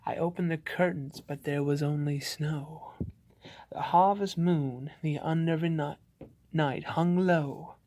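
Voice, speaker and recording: A man voicing a frightened father who narrates a scary Christmas poem in a theatrical, ominous, drawn-out manner. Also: on the high side, fairly even intonation, a little mellow, dry recording. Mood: hushed suspense. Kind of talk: dramatic storybook poem reading.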